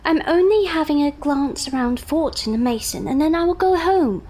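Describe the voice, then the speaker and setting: A woman's voice: high-pitched, lively intonation, normal speed, mellow. A woman playing a prim, anxious young lady in a period audio drama, speaking in a genteel, careful way. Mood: prim, concerned.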